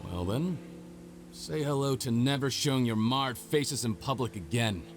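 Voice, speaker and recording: A man's voice: mid-range voice, animated, unhurried, noisy background, natural voice. An actor playing a cold, calculating male schemer who talks down to his former partners, with game music behind. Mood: smug, cold. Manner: smug, calculating, dismissive.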